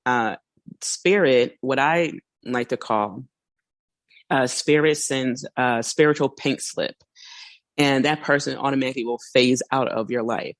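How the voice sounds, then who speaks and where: A woman hosting a casual solo podcast, chatty and a little hoarse, laughing now and then and acting out small quoted asides. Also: rumbling low, dry recording. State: slightly hoarse. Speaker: podcast host.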